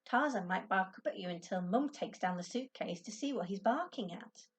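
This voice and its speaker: A woman voicing an excitable, anxious and curious young boy in a storybook, with eager, urgent delivery. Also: medium pitch, moderate pace, recorded from a distance, lightly acted. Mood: worried. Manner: eager, urgent exclamations and questions.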